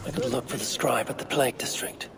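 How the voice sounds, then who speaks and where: An actor playing a determined, self-assured Viking warrior in a video game, speaking in a terse, purposeful way. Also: male voice, mid-range voice, steady tone, fast talker, gravelly, noisy background, close to an everyday voice. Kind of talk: video game character acting. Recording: music behind the voice.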